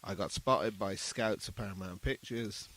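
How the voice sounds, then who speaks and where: Earnest middle-aged man, lowish voice. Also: moderate pace, fairly bright tone. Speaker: musician guest, saxophone busker. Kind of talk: casual interview storytelling.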